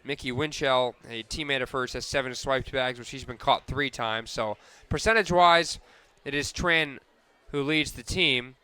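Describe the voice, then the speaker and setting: A man's voice: medium pitch, normal speed, pushed and bright, clean tone, no room sound. A man doing radio sports commentary as a play-by-play announcer.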